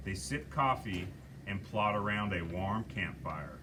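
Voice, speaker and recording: A man's voice: on the low side, expressive, unhurried, noisy background. A man reading stage directions aloud at a table reading and directing the scenes at a film studio.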